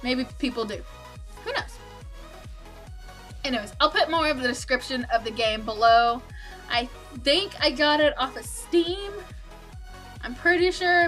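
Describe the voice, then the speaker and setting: A woman records informal gameplay commentary in a bright, high voice. She rambles along playfully and casually, like a let's play host, with faint music underneath.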